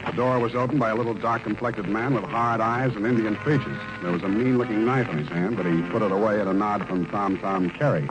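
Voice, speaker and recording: An actor playing a cool, wry private detective in a 1940s-style radio drama, on an old recording. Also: male voice, on the low side, a little fast, few pauses, over music, natural voice, rough recording. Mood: wry, narrating. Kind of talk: 1940s radio detective acting with scripted narration.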